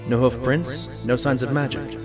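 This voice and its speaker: A man voicing a genial doctor pony, a detective's companion, narrating in an expressive, wry storytelling manner. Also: medium pitch, moderate pace, close to an everyday voice. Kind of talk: storybook-like first-person narration in audio drama. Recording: music bed behind the voice. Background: background music.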